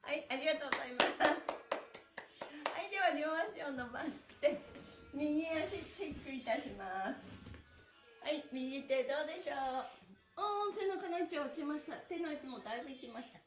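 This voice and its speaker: Middle-aged female fitness instructor: fairly high, very animated, sing-song and encouraging, recorded in a reverberant room. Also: moderate pace, faint music behind. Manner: cheerful, encouraging, sing-song calls while demonstrating stretches. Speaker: stretching instructor. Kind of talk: live exercise lesson with chanted counting. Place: small bare indoor room. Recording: echoey room, mic at a distance.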